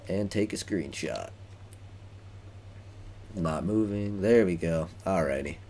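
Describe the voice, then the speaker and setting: A man's voice: deep voice, slow pace, dark tone, slight background noise. A man giving casual, rambling let's play commentary on a video game, with a wry manner. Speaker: let's player.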